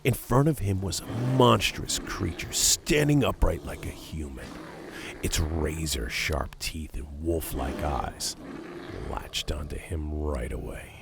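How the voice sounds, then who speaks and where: A man with a very low voice narrates a horror story in a dramatic style. His tone has a heavy creak and gravel to it. A faint wash of rain sits behind the voice. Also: slow. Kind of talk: scripted horror narration, creepypasta reading. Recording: steady background noise of rain ambience.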